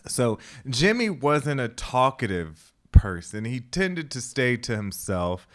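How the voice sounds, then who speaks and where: A man's voice: medium pitch, very animated, slow pace, fairly bright tone. A man co-hosting a podcast, chatting casually and hesitantly with many ums before telling a story. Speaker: podcast co-host.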